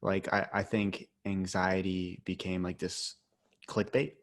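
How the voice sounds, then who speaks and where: A man in his mid-twenties hosts a casual podcast conversation, his speech loose and full of "like". His voice sits on the low side. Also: little pitch movement, takes it slowly. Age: 20s. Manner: casual, says "like" often. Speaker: podcast host.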